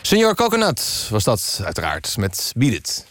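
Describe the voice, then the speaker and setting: A man reading scripted mock news as a radio presenter, with a deadpan delivery. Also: on the low side, unhurried, faint music behind. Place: dry radio studio.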